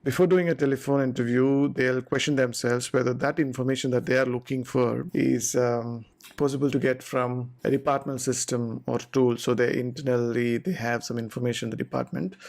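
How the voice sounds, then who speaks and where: A man giving an informal explainer talk to viewers, deliberate and step-by-step in short phrases. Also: medium pitch, creaky, a little mellow. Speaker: presenter explaining visa procedures.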